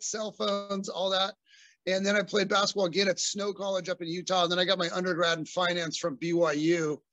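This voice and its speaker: A man's voice: high-pitched, a bit bright, no echo. A man in his forties from Southern California, a business owner talking through his background in a friendly, rambling way with many fillers. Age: 40s. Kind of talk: casual interview conversation.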